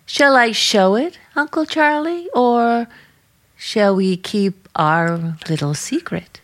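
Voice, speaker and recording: An actress playing an earnest, suspicious young niece in old-time radio drama, speaking in a guarded, halting, pointed way. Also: female voice, a little high, animated.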